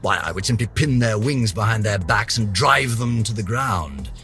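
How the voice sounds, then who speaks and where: An aging British scientist, pompous and obsessive, in a theatrical video game journal. His voice sits fairly low but is forceful and keen-edged, with very lively swings in pitch. The delivery is florid, indignant and full of self-importance. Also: moderate pace, faint music behind. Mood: haughty disdain. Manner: pompous, haughty, florid, melodramatic.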